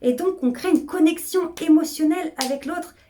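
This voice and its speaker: A middle-aged woman speaking as a public speaking coach, energetic and emphatic, often repeating phrases to drive a point home. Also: very high-pitched, normal speed, slight background noise. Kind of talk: coaching video monologue.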